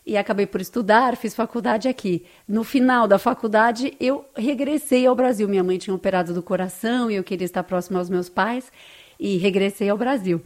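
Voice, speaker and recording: A woman's voice: medium pitch, brisk, a little mellow. A woman from Brazil with a Brazilian Portuguese accent, speaking as an interview guest in a heartfelt, conversational storytelling way.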